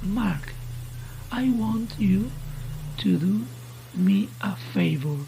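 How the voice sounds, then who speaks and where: A woman voicing a sinister radio entity in a horror story, taunting its victim with mock-friendly, unhurried questions. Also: medium pitch, expressive, gravelly, warm tone, a little hiss or hum, full character voice, low-quality recording. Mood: menacing calm. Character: sinister taunting radio voice that knows secret fears.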